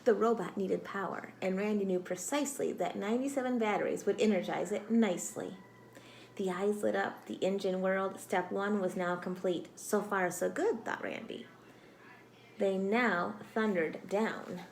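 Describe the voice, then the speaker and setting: A woman reading a picture book aloud to children like a teacher, expressive and dramatic, with exclamations and prompting questions. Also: mid-range voice, measured pace, slight background noise, rough recording. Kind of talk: read-aloud storytelling with questions to young listeners.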